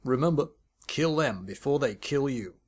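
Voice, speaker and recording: A man's voice: medium pitch, animated, moderate pace, natural voice. A man voicing a humorless, gung-ho team leader with clipped, commanding syllables in a story reading.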